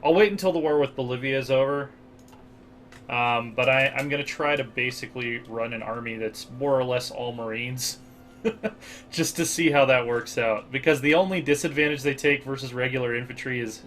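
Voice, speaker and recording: A man's voice: medium pitch, moderate pace, a bit bright. A man with an American accent hosting a strategy game playthrough, talking it through casually with many fillers. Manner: thinks aloud with fillers. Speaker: gaming channel host.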